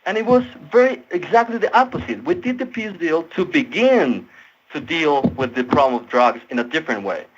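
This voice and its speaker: A man's voice: a little high, animated, slight background noise. Earnest young Colombian man, dull lo-fi sound. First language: Spanish. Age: 20s. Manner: earnest, halting, repeats words as he searches for phrasing. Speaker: tech support agent. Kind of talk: impassioned persuasive argument on a support call. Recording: dull lo-fi call audio.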